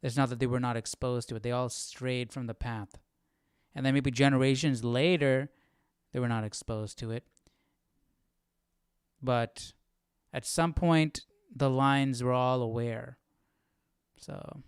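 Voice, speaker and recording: A man with a smooth, clear, mid-pitched voice gives casual study commentary. He puts questions to himself and reasons aloud, in a dry, close sound. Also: fairly even intonation, unhurried, long pauses, no room sound. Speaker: Bible study host.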